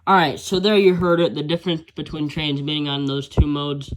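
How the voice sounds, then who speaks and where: A man's voice: on the high side, flat delivery, brisk, rough recording. A man casually reviewing a handheld radio, rambling with lots of fillers and restarts.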